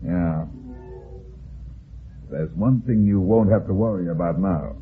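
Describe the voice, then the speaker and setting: An actor with an American accent playing a tough, quick-witted heavyset private detective in an old-time radio drama, brisk, commanding and wry. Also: male voice, low-pitched. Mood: calm, wry. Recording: muffled, noisy old radio recording.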